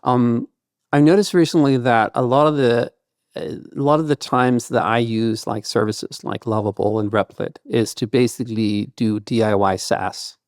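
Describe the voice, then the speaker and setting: A man's voice: mid-range voice, moderate pace, a little mellow, no room sound, studio quality. A man whose first language is Danish, speaking loosely and thoughtfully as a guest in a podcast interview, with lots of "kind of" and "like". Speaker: guest entrepreneur.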